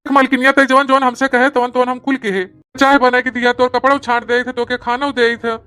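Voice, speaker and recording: A woman's voice: sits high, level tone, brisk, fairly bright tone, clear, resonant tone, dry recording, faint music behind. A woman voicing a henpecked young newlywed husband in a comedy cartoon, whiny and exasperated, with an Awadhi accent. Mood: complaining. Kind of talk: exaggerated cartoon comedy dialogue.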